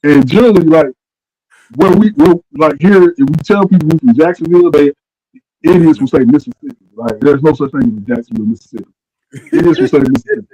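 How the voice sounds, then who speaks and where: A man's voice: a little high, quick pace, warm tone, no echo, low-quality recording. A man, co-hosting a casual sports chat and telling laid-back stories. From Arkansas. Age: 40s. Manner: laid-back storytelling, trails off with "you know what I'm saying". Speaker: co-host.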